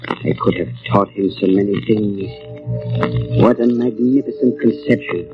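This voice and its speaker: An actor playing a pompous, sinister mind reader who craves power, in the theatrical style of an old radio drama. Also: male voice, on the low side, measured pace, music in the background, natural voice. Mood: haughty, scornful. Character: imperious, sinister male mind reader, megalomaniac turning panicked. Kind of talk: 1940s radio drama acting. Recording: muffled old radio recording, faint noise.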